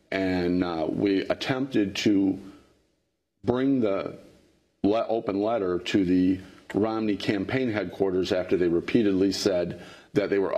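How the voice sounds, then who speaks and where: A middle-aged man, a factory worker, answering interview questions in an earnest way that rises in pitch when he gets indignant, recorded on a lapel mic in a studio. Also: a little mellow. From Illinois. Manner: earnest, grows heated and higher when indignant. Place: television studio.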